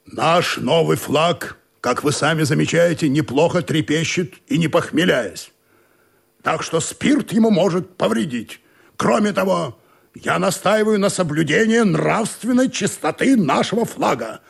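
A man playing an authoritative, drily moralising sea captain in a comic audio drama, with a gravelly, husky, commanding delivery. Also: fairly high pitch, animated, heavily acted. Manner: commanding, dry, deliberate.

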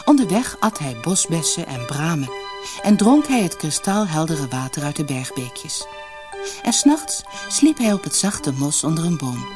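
A woman narrating a fairy tale for an audiobook in an expressive, dramatic storytelling manner. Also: low voice, normal speed, music in the background.

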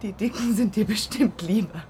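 A woman acting a young prostitute in a radio drama. She speaks softly in a middle register, casual yet wary and matter-of-fact, against clear background noise. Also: mid-range voice, normal speed, natural voice.

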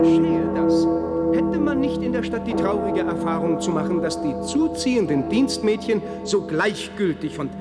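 Preachy churchman, solemn declamation, radio play, long hall reverb, slightly high voice. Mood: exhorting. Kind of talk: radio play acting, formal speech.

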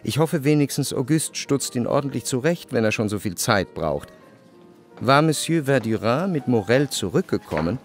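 A man narrating a literary radio play in a measured, faintly ironic manner. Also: mid-range voice, very animated, soft background music.

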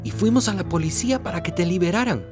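A man's voice: high voice, fast, over music, lightly acted. An actor playing a loving elderly father in a radio drama, tender and reassuring. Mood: recounting. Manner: tender, expressive. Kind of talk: radio drama acting and narration.

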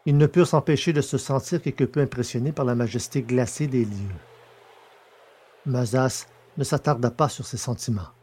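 A man reading the narration of a science-fiction story in a measured way. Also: medium pitch, darkish tone, breathy edge. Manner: measured, descriptive. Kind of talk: scripted narration.

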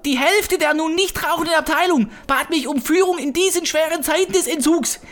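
A grumpy, battle-hardened woman who leads a tribe of office clerks , played by an actress. Her voice is high, and she speaks with solemn, martial melodrama, as in a comic radio play. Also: a little fast. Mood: bitter, grave. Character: grumpy, warlike female leader of office accounting tribe. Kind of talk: comedic audio drama acting.

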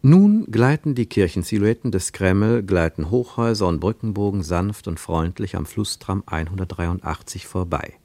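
A man narrating a radio play as a literary travel account, measured and descriptive. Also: sits low, lively intonation, mellow, dry recording. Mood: observant.

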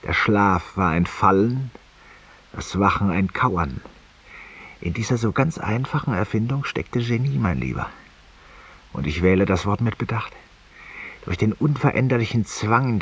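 Low, world-weary confessor, muffled old radio. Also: male voice, breathy edge. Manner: intimate, rhetorical, addressing an unseen companion, sometimes dropping to a whisper. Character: world-weary, confessing man, eloquent, cynical and guilt-ridden. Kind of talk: 1950s German radio drama monologue. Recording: muffled, distant 1950s radio recording.

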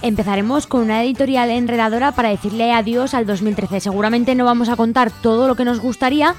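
A woman's voice: fairly high pitch, steady tone, fast. A woman with a Spain Spanish accent co-hosting a community radio show in a casual presenting style. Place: radio studio. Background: faint music.

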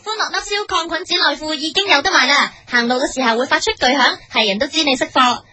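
A woman's voice: high voice, quick pace, bright, forceful tone, faint music behind. A woman playing a perky commercial announcer with a Hong Kong Cantonese accent in a parody radio advertisement. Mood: upbeat.

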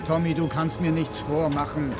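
A man's voice: a little high, level tone, normal speed, music in the background, close to an everyday voice. A man in a radio play voicing an older down-and-out actor, a loyal friend who admonishes urgently. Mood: urgent warning. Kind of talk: radio drama acting.

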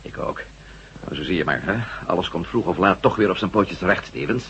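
Deep-voiced, reassuring comrade. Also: male voice, lively intonation, a little fast, fairly bright tone. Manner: colloquial, reassuring. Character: low-voiced, reassuring male comrade of a dazed general. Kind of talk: Dutch science-fiction radio drama acting. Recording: muffled, slightly noisy old radio recording.

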